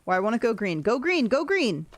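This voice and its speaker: A woman with a deep voice, chatting casually and rambling while she plays a game on a livestream and answers her chat. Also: big pitch swings, brisk, dry recording. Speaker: streamer. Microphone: desk mic, no camera.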